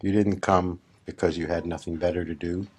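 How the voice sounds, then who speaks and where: A man leading a guided meditation as a spiritual teacher, speaking deliberately in short phrases with long pauses between them. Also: sits low, fairly even intonation, a little mellow, slightly rough. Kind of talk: slow guided meditation talk.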